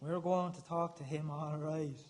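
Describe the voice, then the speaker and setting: An actor playing an aggressive, touchy, foul-mouthed brother out for revenge, needling and repeating himself in a radio play. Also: male voice, a little high, fairly even intonation, unhurried, a little mellow, lightly acted. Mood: sneering. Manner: needling, repetitive, swearing.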